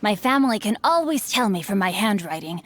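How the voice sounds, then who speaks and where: A woman's voice: medium pitch, big pitch swings, fairly quick. A woman voicing a witty, bookish young male heir in a game cutscene, speaking formally with dry, teasing wit.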